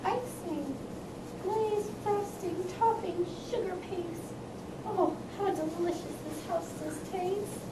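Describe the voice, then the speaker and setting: A timid young girl played by a woman recites puppet-show verse slowly. The voice is very high and plaintive, picked up from far off with noise around it. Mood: delighted. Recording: noisy echoey room from far off.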